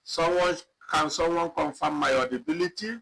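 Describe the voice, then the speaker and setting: A man teaching a live online spreadsheet lesson, talking slowly and repeating himself as he clicks through data. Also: on the high side, gravelly, fairly bright tone, breathy edge, rough recording. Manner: slow, repetitive, thinking aloud while clicking. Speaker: instructor. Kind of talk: live online software tutorial.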